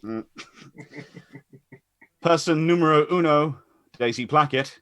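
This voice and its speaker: A man's voice: on the high side, slow, fairly bright tone, no room sound. A man hosting a casual live stream as announcer, chatty and rambling as he introduces things. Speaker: host and announcer. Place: online group call.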